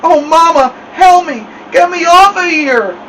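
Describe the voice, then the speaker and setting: A man's voice: extremely high pitch, expressive, unhurried, bright, forceful tone, distant mic, slight background noise, pitched above the performer's own voice, exaggerated voice. A man voicing a panicked, crying boy in an audiobook story, mumbling as if his mouth is stuck. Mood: desperate, wailing. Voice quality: mumbling, muffled. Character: panicked boy with lips frozen to mirror, crying.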